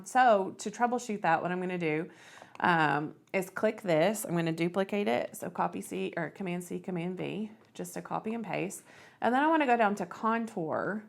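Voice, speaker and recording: A woman hosting a casual step-by-step craft software tutorial, thinking aloud as she works. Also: lowish voice, creaky. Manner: thinks aloud while working.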